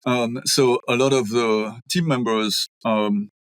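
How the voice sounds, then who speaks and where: A man's voice: medium pitch, steady tone, slow, smooth, dry recording. A middle-aged Belgian man, French his first language, speaking as an architect guest in an interview, thoughtful with many ums and restarts. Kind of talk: conversational interview.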